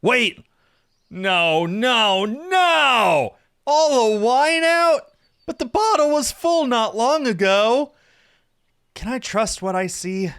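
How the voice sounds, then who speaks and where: A man's voice: mid-range voice, measured pace, pushed and bright, clean tone. A man voicing a sulky, sarcastic satyr slacker from a visual novel game, whiny and dramatic. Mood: anguished wailing. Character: sulky sarcastic male satyr, lazy hard-drinking slacker.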